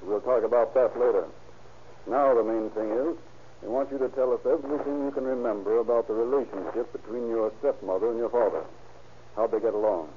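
An actor playing a brisk, businesslike police captain in 1930s radio drama style. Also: male voice, medium pitch, close to an everyday voice. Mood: probing, firm. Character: brisk, businesslike male police captain leading a murder investigation. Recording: tinny, hissy old radio recording.

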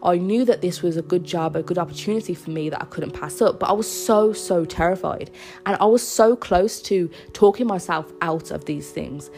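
A woman's voice: on the low side, moderate pace, a little mellow, soft background music. A young woman with a cold and a stuffy nose, hosting a casual solo podcast in an earnest, reassuring way. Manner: earnest, reassuring, with small laughs. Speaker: podcast host.

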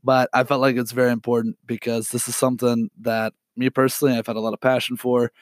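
A young man hosting a podcast alone, sick and hoarse, talking in an apologetic, rambling way with many fillers and throat clearing. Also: medium pitch, little pitch movement, quick pace, no echo. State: sick with a raspy, cracking voice. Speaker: podcast host. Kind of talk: unedited solo podcast update.

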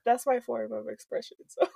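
Chatty young woman, high and dry. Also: steady tone, normal speed, creaky, warm tone, no room sound. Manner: rambling, filler-heavy, swears freely, laughs often; switches between English and Spanish mid-sentence. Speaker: podcast co-host. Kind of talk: casual podcast chat.

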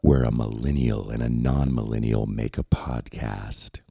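A man voicing a booming movie-trailer announcer with slow, dramatic intoning over music in a show intro. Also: steady tone, creaky, dark tone, breathy edge, no room sound, clean studio sound, exaggerated voice.

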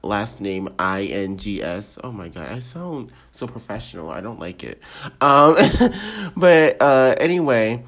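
A man's voice: lowish voice, expressive, a little slow, a bit bright. Rambling, giggly nineteen-year-old guy. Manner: rambling, self-deprecating, lots of ums and giggles. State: sick, voice a bit off. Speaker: podcast host. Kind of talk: casual solo podcast intro.

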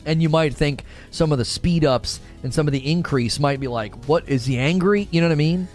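A man's voice: fairly high pitch, a little fast, darkish tone, faint music behind. A man hosting a casual livestream talk show, chatting and answering viewers with rambling enthusiasm and frequent repeats. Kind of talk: casual livestream chat reading viewer comments.

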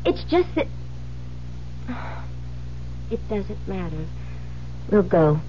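An American actress playing a frightened, anxious new bride who speaks hesitantly and evasively, in 1970s radio drama style. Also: female voice, medium pitch, sing-song, very slow. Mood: nervous, pleading. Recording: muffled, hissy old radio broadcast with hum.

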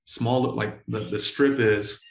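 Gravelly guy in his 40s, slangy and excitable, swears a lot, echoey room. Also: medium pitch, takes it slowly. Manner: slangy, profane, excitable, repeats himself. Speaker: entrepreneur guest. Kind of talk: casual storytelling interview.

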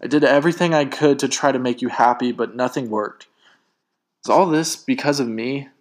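A man's voice: medium pitch, animated, fairly quick, natural voice. A man voicing a hurt young woman in a story, pleading and accusing as she presses her partner.

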